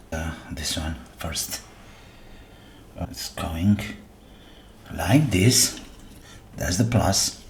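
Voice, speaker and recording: A man casually narrating a workbench repair, half muttering to himself in short phrases with pauses between them. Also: deep voice, a little hiss or hum. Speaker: electronics repair host.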